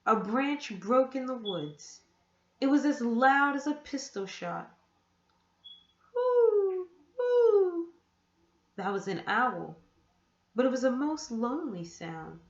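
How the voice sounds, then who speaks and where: A woman reads a children's book aloud in a fairly high voice. Her storytelling is animated and swings widely in pitch. The sound is echoey, as if in a bare room. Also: measured pace, highs cut off. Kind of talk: expressive children's read-aloud narration.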